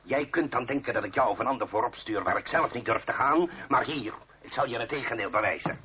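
An actor playing a blustering, hot-tempered revolutionary orator who curses and speaks bluntly in a Dutch radio play. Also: male voice, medium pitch, fairly quick, a little hiss or hum, close to an everyday voice. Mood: angry, defiant. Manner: bellowing, cursing, blunt. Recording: muffled old radio play recording.

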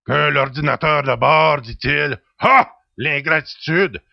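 A man playing a cranky, theatrical ship's computer with an old pirate's raspy, creaky voice in an audio drama. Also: a little high, slow, dry recording, dull audio, full character voice. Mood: indignant. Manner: grumbling, grandiloquent, teasing.

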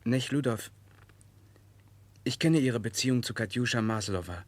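A man's voice: medium pitch, normal speed, dry recording, natural voice. A man playing a principled, protective political exile in a 1960s German radio drama, speaking formally and directly. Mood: resolute.

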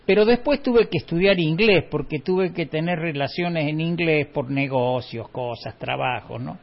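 Man, slight Cordoban accent, middle-aged, radio host, wry anecdotes, higher voice, dull lo-fi sound. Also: moderate pace, gravelly. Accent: Cordoban Argentine Spanish, slight. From Córdoba, Argentina. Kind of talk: casual radio chat.

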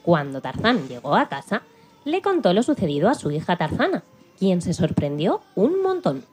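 A woman's voice: lowish voice, wildly swooping pitch, moderate pace, darkish tone, faint music behind. A woman narrating a children's radio play as a storyteller, reading from a script.

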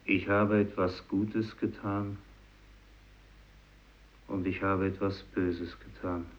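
An actor playing a brooding, guilt-laden fisherman, speaking solemnly and deliberately in a 1950s German radio drama. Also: low voice, flat delivery. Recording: dull old radio recording.

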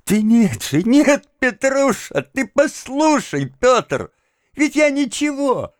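A man's voice: extremely high pitch, sing-song, slow pace, no echo, studio quality, pitched above the performer's own voice, heavily acted. A man voicing an agitated clerk in an audiobook, a master who wheedles and repeats himself and splutters insults at his servant. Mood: coaxing, pleading. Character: agitated, exasperated middle-class clerk master, pleading then raging. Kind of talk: dramatised audiobook of a classic novel.

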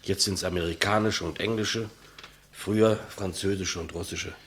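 An actor playing a humble, matter-of-fact state executioner, subdued and deferential, with a husky voice, in 1950s German radio play style. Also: male voice, low voice, steady tone, moderate pace, fairly bright tone, a little hiss or hum. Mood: quiet, patient.

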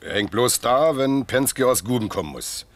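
An actor playing a caught factory thief in a crime radio play, confessing in a hesitant, resigned way. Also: male voice, medium pitch, expressive, moderate pace, natural voice. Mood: subdued, confessing. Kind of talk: mid-century German crime radio play acting.

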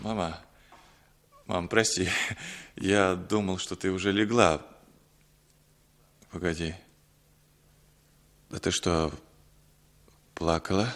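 An actor playing a chatty, affectionate husband and son in a 1960s Soviet radio play. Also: male voice, low voice, steady tone, slow, pauses often, fairly bright tone, heavily acted. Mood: soothing, apologetic.

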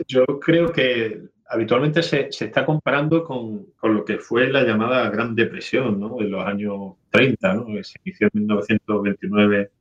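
Low male expert guest, slightly dull sound. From Málaga, Spain. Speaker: guest economist, university professor. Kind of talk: explanatory interview answers.

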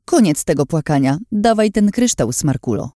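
A woman's voice: lowish voice, big pitch swings, normal speed, warm tone, dry recording, studio quality, voice pushed up. A woman voicing a scheming, mocking villainous woman in a dramatised audiobook, with theatrical sarcasm. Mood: demanding.

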